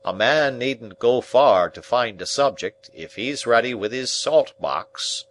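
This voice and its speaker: A man voicing a pompous, blustering middle-aged man who lectures a boy in a loud, drawn-out, self-important way. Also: mid-range voice, animated, pitched above the performer's own voice.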